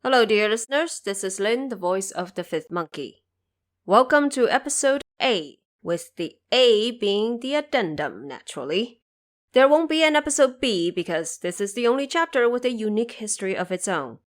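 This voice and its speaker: A woman hosting a podcast, reading scripted explanatory notes and addressing her listeners directly in a measured way. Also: fairly high pitch, animated. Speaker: podcast host.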